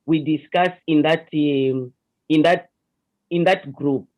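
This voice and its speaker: A woman with a Zambian accent and a deep voice, earnestly explaining her counseling work as a guest in an online interview, with hesitant restarts. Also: fairly even intonation, slow, a little mellow. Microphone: video call. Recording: lo-fi video call audio.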